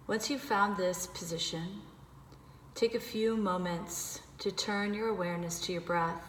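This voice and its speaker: A woman leading a guided meditation, giving gentle, unhurried instructions with pauses. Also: mid-range voice, fairly even intonation. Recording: echoey reverberant room with background noise.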